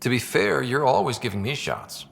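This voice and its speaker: An actor playing a confident young space explorer and crew leader in a video game, casual and a little cocky. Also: male voice, medium pitch, sing-song, normal speed, vocal fry, big-room sound. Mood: casual concern. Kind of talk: video game dialogue acting.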